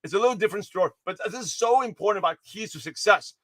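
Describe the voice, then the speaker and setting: A man giving an energetic livestream pep talk to his viewers, his voice hoarse and slightly raspy, rambling with many restarts and self-corrections. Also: sits high, very fast, no echo. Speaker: motivational speaker giving a daily pep talk.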